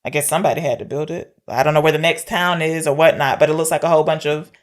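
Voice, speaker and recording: A woman's voice: sits low, steady tone, fast talker. A woman co-hosting a podcast, casually discussing films in a blunt, plain-spoken way and acting out quotes as she retells things. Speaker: podcast co-host.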